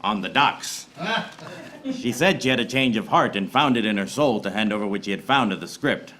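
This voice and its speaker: An actor playing a wry, hard-boiled private eye who narrates a parody noir radio serial in deadpan, recorded live on stage. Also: male voice, lowish voice, moderate pace, noisy background, natural voice. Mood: wry narrating. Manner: deadpan pun-laden monologue. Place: small theater stage before live audience.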